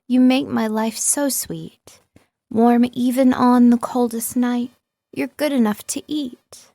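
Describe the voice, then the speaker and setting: A woman with a fairly high voice reads a script as a professional narrator. Her delivery is measured and clearly articulated. The recording is very dry, with no room sound around the voice. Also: darkish tone, no room sound. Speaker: voice-over artist and narrator.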